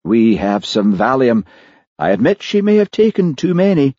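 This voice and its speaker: A man's voice: medium pitch, sing-song, moderate pace, mellow, no echo, highs cut off, voice pushed up. A man acting a waspish, indignant male church minister in an audiobook. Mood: shaken. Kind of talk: audiobook character voice.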